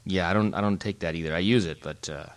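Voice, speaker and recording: A middle-aged man co-hosts a casual podcast from home. His voice sits a little low, and he jokes around and rambles, restarting his sentences often. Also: fast talker. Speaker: podcast co-host. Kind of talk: casual chat. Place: home, Germany.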